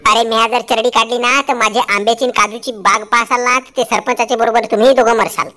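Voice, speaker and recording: A man voicing a cheeky, reluctant village sidekick in a comic cartoon, teasing and quick to talk back. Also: extremely high pitch, little pitch movement. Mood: defiant, teasing. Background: very loud background music.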